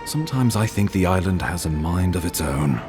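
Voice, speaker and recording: An actor playing a grave, courtly imperial ambassador in a video game, speaking formally and deliberately. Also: male voice, deep voice, expressive, music in the background. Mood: grave, earnest. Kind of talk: scripted video game dialogue.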